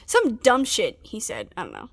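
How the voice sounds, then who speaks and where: A woman's voice: fairly high pitch, wildly swooping pitch, moderate pace, gravelly, heavily acted. An actress playing a small, hot-tempered female field agent, venting sulkily and indignantly in an audio drama monologue. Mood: bitter, angry. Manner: sulky, sweary, indignant venting.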